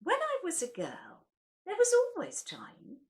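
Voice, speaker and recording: A woman's voice: very high-pitched, huge pitch swings, a little slow. A woman reading poetry aloud in a theatrical, wryly comic way, as the host of a recital. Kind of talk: expressive poetry recital with casual introductions.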